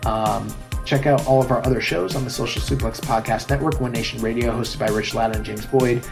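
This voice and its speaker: A hoarse man hosting a solo wrestling podcast, recapping a match in a casual, enthusiastic way with many fillers. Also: mid-range voice, fairly even intonation, a little fast, music in the background. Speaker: podcast host.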